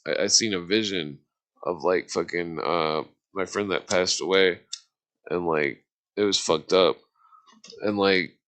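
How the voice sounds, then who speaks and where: A middle-aged man rambling through casual stories in a halting, repetitive way, with slangy and profane phrasing. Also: deep voice, fairly bright tone.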